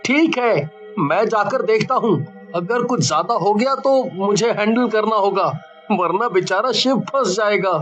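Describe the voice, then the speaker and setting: Man voicing an anxious young son, very high-pitched. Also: expressive, measured pace, a bit bright, lo-fi sound, soft background music. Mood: worried. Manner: earnest, insistent. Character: anxious, greedy young son in a moral tale. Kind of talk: dramatic storybook acting.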